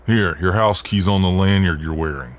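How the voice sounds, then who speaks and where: Man playing a deep-voiced Southern buff mailman. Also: normal speed, noisy background, lightly acted. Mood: reassuring, concerned. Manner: folksy, soothing drawl. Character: buff friendly Southern mailman, protective and cocky, gentle rescuer. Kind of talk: ASMR role-play acting.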